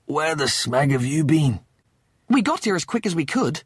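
Uptight spaceman, pitched high and panicky. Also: big pitch swings, fast, slightly rough, no room sound, studio quality, heavily acted. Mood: agitated. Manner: exclamatory, incredulous, highly strung. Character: uptight, panicky, whiny male spaceship crewman. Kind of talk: audiobook character acting.

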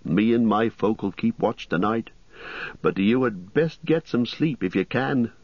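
A man's voice: fairly low pitch, measured pace, dry recording, dull audio, voice pushed up. A man voicing a fussy, flustered middle-aged innkeeper, chattering in rustic turns of phrase, in a storybook reading. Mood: anxious, helpful.

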